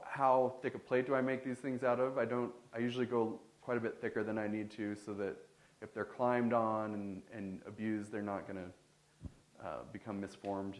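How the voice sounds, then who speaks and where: A man, an artist, answering audience questions in a casual, halting way, with many fillers and restarts. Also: medium pitch. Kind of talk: live Q&A at a talk. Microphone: handheld microphone. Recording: echoey room on a hand mic.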